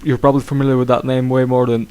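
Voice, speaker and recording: A young man hosts a casual chat in a middle-pitched voice. He talks hesitantly, stumbling over his words and filling the gaps with "uh". A slight cough hangs on his voice. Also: level tone, very fast, a little mellow, clean tone. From England. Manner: hesitant, full of fillers and restarts. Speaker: podcast host.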